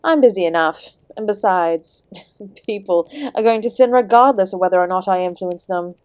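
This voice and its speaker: A woman's voice: medium pitch, normal speed, darkish tone, no room sound, close to an everyday voice. Woman playing a suave, teasing female Devil, dry close sound. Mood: breezy, worldly. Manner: genteel, teasing, theatrical, calling the listener "my dear". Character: charming, sardonic female Devil, ancient and courteous host. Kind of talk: scripted audio roleplay, addressing the listener directly.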